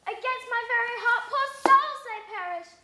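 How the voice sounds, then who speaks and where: Earnest young heroine, woman reciting, very high bright voice, echoey room. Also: moderate pace, heavy background noise. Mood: pleading. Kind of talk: Shakespeare recitation.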